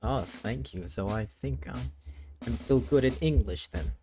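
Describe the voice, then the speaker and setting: A man's voice: medium pitch, a little slow, noisy background. A man from Thailand, a streamer explaining his worldbuilding lore in a casual, explanatory way, often restarting phrases.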